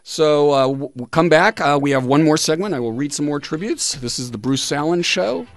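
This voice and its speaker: A man hosting a radio talk show, reading aloud with pauses for emphasis in a studio, close to the microphone. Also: a little high, expressive, dry recording. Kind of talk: reading listener tributes and quotes on a talk show.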